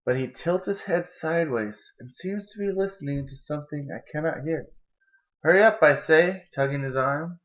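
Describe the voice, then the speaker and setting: A man's voice: on the high side, moderate pace, hardly any pauses. A young adult man reading a children's picture book aloud in a storytelling style, recorded indoors on a camera mic. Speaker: storybook reader. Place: indoor kitchen and living room. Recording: dull, lo-fi camera audio.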